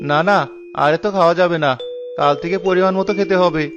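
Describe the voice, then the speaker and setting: A man's voice: sits high, steady tone, fast, dull audio, music in the background, natural voice. A man voicing a stingy, irritable village man in a cartoon, grumbling and defensive. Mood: regretful. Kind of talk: cartoon character voice acting.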